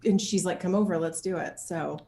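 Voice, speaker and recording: A woman chatting casually as an interview guest, telling anecdotes in an animated, jokey way, with laughter close at hand. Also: on the low side, a little fast, reverberant, slight background noise. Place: at home, indoor room.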